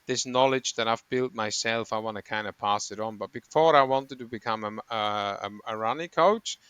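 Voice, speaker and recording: Swiss man, bright crisp voice. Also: lowish voice, a little fast, no room sound. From Switzerland. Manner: rambling, self-correcting explanations with frequent ums. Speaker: guest, running coach. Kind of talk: conversational interview.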